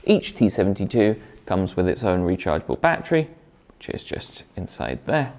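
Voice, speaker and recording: A man's voice: sits low, big pitch swings, moderate pace, a little mellow. A man presenting a product review in a conversational, explanatory way, partly scripted.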